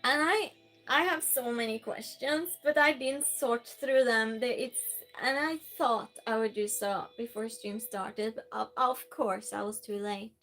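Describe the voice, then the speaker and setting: Slow, high-voiced woman with a Norwegian accent. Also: fairly bright tone, faint music behind, poor audio. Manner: friendly, chatty, many fillers and restarts. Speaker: live streamer. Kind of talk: casual live-stream chat, reading viewer messages aloud.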